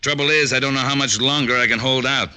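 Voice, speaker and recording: An actor playing a blunt, pushy, self-made factory boss in a mid-century radio drama, talking gruff, slangy tough talk. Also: male voice, mid-range voice, steady tone, fast, pushed and bright. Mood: aggrieved, forceful.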